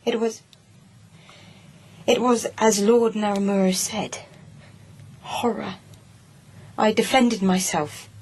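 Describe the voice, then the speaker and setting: A woman's voice: mid-range voice, steady tone, slow pace, long pauses, darkish tone. An actress playing a troubled, guarded noblewoman in a radio drama, speaking hesitantly and in a subdued way. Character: troubled royal-blooded lady, guarded, fragile.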